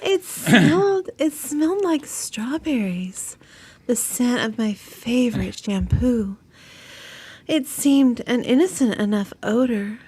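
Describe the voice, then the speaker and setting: A woman reading a novel aloud in a drawn-out, melodramatic way. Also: fairly high pitch, lively intonation, a little mellow, husky. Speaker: story reader.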